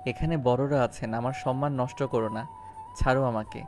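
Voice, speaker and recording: A man's voice: mid-range voice, normal speed, over music, natural voice. Man playing a flustered young schoolmaster. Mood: agitated. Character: embarrassed, protesting young married schoolmaster. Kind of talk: audio story acting.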